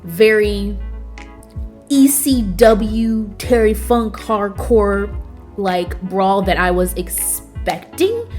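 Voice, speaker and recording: An excitable woman recaps the week's wrestling in a loose, chatty way, doubling up words when she gets fired up. Her voice sits at an everyday middle pitch, with soft music playing quietly behind her. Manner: enthusiastic, emphatic, repeats words for emphasis; casual slangy phrasing. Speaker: wrestling review show host. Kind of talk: casual recap and commentary.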